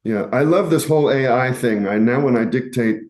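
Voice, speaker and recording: A man's voice: mid-range voice, brisk, a little mellow. A man who acts and sings in a chorus, telling wry, teasing stories in a casual group discussion. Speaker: cast member and chorus singer.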